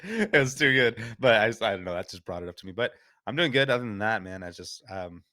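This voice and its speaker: A man hosting a casual gaming podcast, stuffed up from a cold, laid-back and chatty and quick to laugh. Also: on the low side, sing-song, pushed and bright, no echo, clean studio sound. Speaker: podcast host. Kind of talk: casual chat.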